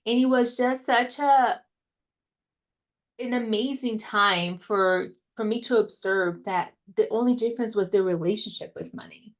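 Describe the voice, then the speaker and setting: A woman's voice: fairly high pitch, measured pace. A woman from Brazil with a Brazilian accent, a money mindset coach who tells her story as a podcast guest and laughs often. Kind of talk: podcast interview, personal story.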